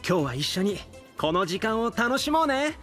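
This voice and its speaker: A man's voice: high-pitched, big pitch swings, moderate pace, over loud music. A male actor playing a sweet, boyish little-brother idol in an audio drama, friendly and lightly teasing. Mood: sweet, cheerful.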